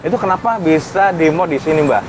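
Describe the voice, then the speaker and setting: An Indonesian-accented man with a slightly high voice talks informally to viewers like a vlogger. He explains gear in a casual, chatty way. The recording is noisy, with outdoor traffic ambience behind him. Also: animated, fairly quick. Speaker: vlogger and intercom modification technician.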